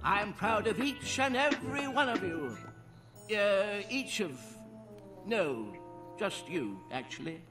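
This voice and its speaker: Brief: a fussy, high-pitched cartoon professor stallion, ceremonial tone, music under. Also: male voice, very animated, slow, far from the mic, full character voice. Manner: formal ceremonial speech, fussy. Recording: show clip with music behind.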